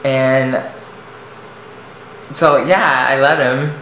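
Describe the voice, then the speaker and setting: Young man, light soft voice, halting and rambling confessional delivery, recorded in a roomy space with faint background hiss. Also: medium pitch, fairly even intonation. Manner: hesitant, rambling, many restarts and fillers. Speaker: vlogger. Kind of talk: personal storytelling to camera. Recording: echoey room, faint noise.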